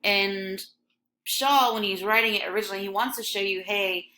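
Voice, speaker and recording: A woman's voice: mid-range voice, normal speed, pushed and bright, slight background noise. A young woman from Michigan with a slight Michigan accent, teaching an English lesson in a casual, chatty way full of ums and likes. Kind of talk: recorded classroom lesson over a scrolling text.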